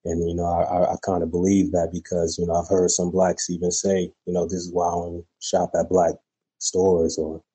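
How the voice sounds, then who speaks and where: A man's voice: low voice, monotone, moderate pace, warm tone, breathy edge, low-quality recording. A man calling in to a talk show, speaking casually and rambling with many fillers. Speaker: caller.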